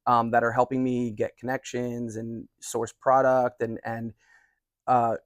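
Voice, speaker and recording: A man's voice: mid-range voice, monotone, unhurried, dry recording, clean studio sound. A man in his forties hosting a casual chat as a cigar reviewer, rambling along in an appreciative, polite way. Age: 40s. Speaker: cigar reviewer and video host.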